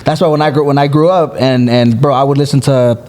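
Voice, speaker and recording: A man in his thirties from the Los Angeles area, telling an animated story as a podcast guest in a studio. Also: mid-range voice, fast. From Los Angeles area, United States. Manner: animated, says "bro" a lot. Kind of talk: casual storytelling chat. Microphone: studio mic on boom arm.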